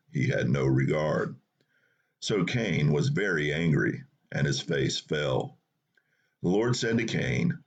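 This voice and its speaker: A man hosts a Bible study and reads scripture in a plain, unhurried way. His morning voice sits very low, husky and gravelly. The recording sounds dull and lo-fi. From North Carolina. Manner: unhurried, plain reading with small restarts. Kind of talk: reading scripture aloud.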